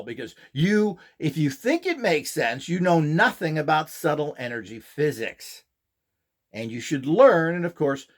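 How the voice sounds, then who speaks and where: A man speaks in a somewhat high voice that swings widely in pitch. He lectures informally and emphatically, explaining his products with firm opinions. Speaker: instructor and seller of esoteric devices and courses.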